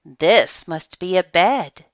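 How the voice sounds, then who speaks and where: A woman's voice: fairly low pitch, expressive, takes it slowly, no echo, highs cut off. Woman voicing a wide-eyed, curious little toy bear. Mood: wistful. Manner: wide-eyed, wistful exclamations. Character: small curious toy bear, male, innocent and wondering.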